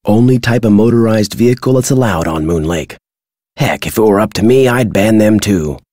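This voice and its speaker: An older American man voicing a crotchety retired birdwatcher in a video game, folksy and gruff with a husky, dry delivery. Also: fairly low pitch, animated, normal speed, mellow, no echo. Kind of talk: video game character dialogue.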